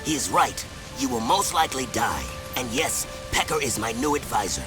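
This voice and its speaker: A man's voice: mid-range voice, very animated, normal speed, lightly acted. An actor voicing a stern, imperious desert king who speaks formally in a video game. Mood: stern, weighty. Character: stern, commanding male desert warlord king. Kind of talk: animated video game voice acting. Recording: loud music underneath.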